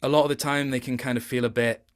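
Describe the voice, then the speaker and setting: A man's voice: mid-range voice, steady tone, fast talker, smooth. Male interviewee, casual and reflective, thoughtful delivery that doubles back while finding the right words. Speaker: interview guest, voice actor.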